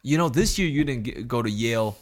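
Halting, restarting male podcast co-host. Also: medium pitch, lively intonation. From New York. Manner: halting, many restarts and repeated words. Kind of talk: casual podcast chat.

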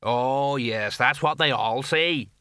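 A man voicing a big, self-important, slow-witted police sergeant in a storybook, with a ponderous, officious manner. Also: medium pitch, sharp tone, smooth, no echo, clean studio sound. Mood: officious, pleased.

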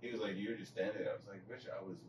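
Male podcast guest, quick delivery, lowish pitch, casual sweary storytelling, picked up from a distance. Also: fairly even intonation. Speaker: podcast participant. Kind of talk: casual chat. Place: echoey room, away from the mic. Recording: distant mics, echoey room, faint noise.

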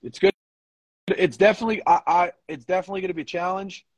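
American guy podcast host, slightly high voice, close dry sound, bantering and swearing casually. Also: steady tone, fast talker, no room sound. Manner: bantering, profane. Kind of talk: casual powerlifting chat.

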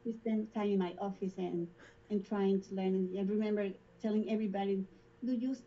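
A woman's voice: mid-range voice, steady tone, brisk, a little hiss or hum. Woman, Spanish accent, chatty storyteller, laughs a lot, slightly dull recording. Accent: Spanish-speaking. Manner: laughs often while telling stories. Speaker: school front office staff. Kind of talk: casual interview conversation.

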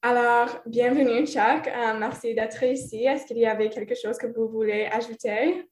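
A native English-speaking woman with an Ontario anglophone accent hosts a podcast in French. Her voice sits a little high and she reads in a careful, measured way. The recording sounds echoey. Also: steady tone. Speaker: podcast host. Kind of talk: reading scripted introduction, then interviewing.

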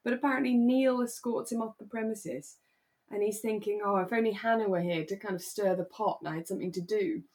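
Woman podcast host, medium pitch, just relaxed casual chatting. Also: fairly quick. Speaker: actor. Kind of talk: relaxed casual chat about a radio drama.

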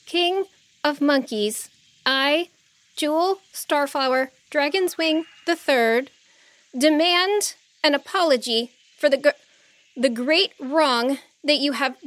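A woman's voice: sits high, slow pace, a bit bright, no room sound, pitched above the performer's own voice. A woman voicing a young dragonfly princess who speaks formally and earnestly, nervous but brave.

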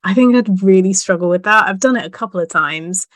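A woman's voice: mid-range voice, a little fast, no echo, clean studio sound. A woman hosting a casual podcast interview, hesitant and self-deprecating, laughing often. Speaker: podcast host and editor.

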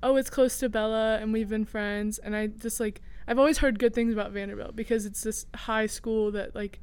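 A woman's voice: fairly high pitch, fairly even intonation, normal speed, a little mellow, smooth, no room sound. A teenage girl from Indiana, a student co-host, chatting in a casual, storytelling way with many fillers. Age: teens. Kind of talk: casual chat.

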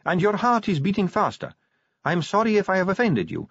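A man's voice: sits high, huge pitch swings, fairly quick, a bit bright, husky, dry recording, dull audio, pitched above the performer's own voice, full character voice. A man voicing a young, boyish female vampire who is polite, earnest and forthright, in an audiobook story. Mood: reassuring.

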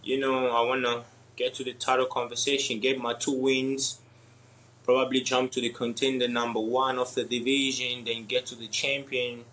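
A young man from Congo with French or Swahili as his first language, answering interview questions in a halting way with many pauses and laughs. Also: medium pitch, flat delivery, a bit bright, low-quality recording. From Democratic Republic of the Congo. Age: young adult. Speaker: MMA fighter guest.

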